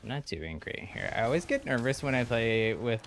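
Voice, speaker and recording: A man's voice: medium pitch, very animated, normal speed. A man giving casual, self-deprecating live commentary as he streams a video game. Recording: clearly noisy game audio behind voice. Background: video game sounds.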